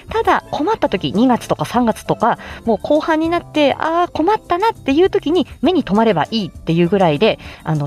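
A woman's voice: mid-range voice, darkish tone. A woman hosting a how-to audio show, explaining things step by step in casual, polite, unscripted talk with an encouraging manner. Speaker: host of a how-to audio show for amateur voice actors.

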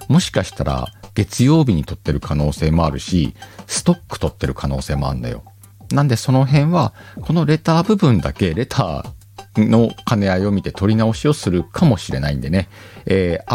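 A man's voice: lowish voice, darkish tone. A man hosting a casual solo talk show, reading listener letters aloud in a chatty, self-mocking way with many fillers. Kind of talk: casual solo radio-style chat. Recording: faint background music.

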